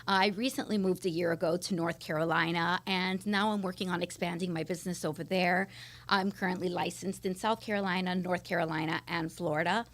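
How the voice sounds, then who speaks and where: A woman's voice: fairly low pitch, fairly even intonation, brisk, fairly bright tone. A middle-aged woman from Miami with an American accent, answering interview questions in an enthusiastic, explanatory and conversational way. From Miami, United States. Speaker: interview guest, esthetician.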